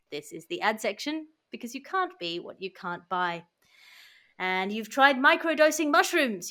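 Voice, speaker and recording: A woman's voice: a little high, fairly bright tone. A woman hosting a satirical podcast, reading news and mock ads in a dry, declamatory, tongue-in-cheek way. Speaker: podcast host.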